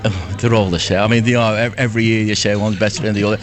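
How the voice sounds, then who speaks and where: Low-voiced, chatty older Lancashire man. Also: steady tone, rapid-fire, crowd noise. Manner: chatty, effusive, repeats himself. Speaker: interview guest, amateur pantomime performer. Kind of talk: casual live radio interview.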